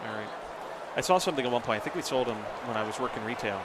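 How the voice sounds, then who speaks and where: A man calling play-by-play for a simulated football game, brisk on calls and hesitant in chat. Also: mid-range voice, expressive. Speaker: play-by-play announcer. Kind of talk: play-by-play commentary, banter. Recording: loud crowd and noise bed. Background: loud crowd ambience.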